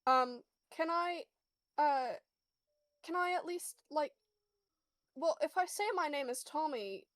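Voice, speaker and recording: A woman playing an earnest, grieving young man in a tabletop game, halting and repeating words as tears are held back. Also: sits high, a bit bright, dry recording. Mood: tearful, hesitant.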